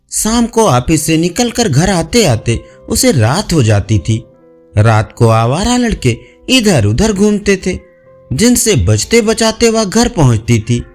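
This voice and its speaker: A man narrating a scripted audio story with measured, expressive storytelling delivery. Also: sits high. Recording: music bed behind voice. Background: background music.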